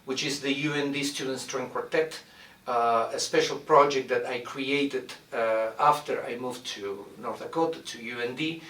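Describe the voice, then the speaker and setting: A middle-aged man from Argentina, a violin professor introducing his studio in a measured way, with many "uh" pauses as he searches for words. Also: medium pitch, a bit bright, far from the mic. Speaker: violin professor and orchestra director. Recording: roomy echo, mic set back.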